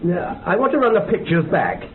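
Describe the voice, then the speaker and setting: An actor playing a brisk, authoritative researcher who questions and directs in a radio drama, on a tinny recording. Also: male voice, high-pitched, huge pitch swings. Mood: intent. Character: male researcher, brisk, probing investigator reviewing tapes. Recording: tinny band-limited noisy radio recording.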